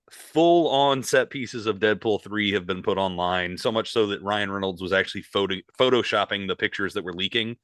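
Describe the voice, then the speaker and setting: A man's voice: low-pitched, fairly bright tone, no echo. A man co-hosting a casual podcast, talking in a loose, rambling way with lots of fillers, still getting over an illness. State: recovering from illness. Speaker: podcast co-host.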